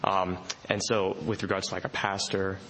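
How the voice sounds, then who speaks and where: Low, flat-voiced hesitant questioner. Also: male voice, normal speed, slight background noise, low-quality recording. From Indonesia. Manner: hesitant, many fillers, apologetic. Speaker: audience member asking a question. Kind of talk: question from the floor.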